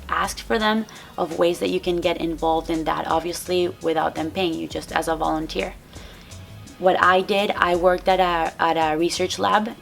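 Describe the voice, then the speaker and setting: Low, gravelly Venezuelan-accented young woman. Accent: Venezuelan Spanish. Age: young adult. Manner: earnest, conversational, answering viewer questions. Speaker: strength coach and physical therapy graduate. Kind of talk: casual vlog advice. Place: parked car, then indoors by a window.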